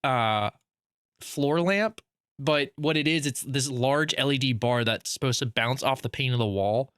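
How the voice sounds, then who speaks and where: A man's voice: mid-range voice, measured pace, fairly bright tone, smooth, dry recording, studio quality. A man co-hosting a casual podcast, teasing his friend in a playful way and chatting loosely with plenty of fillers. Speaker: podcast co-host.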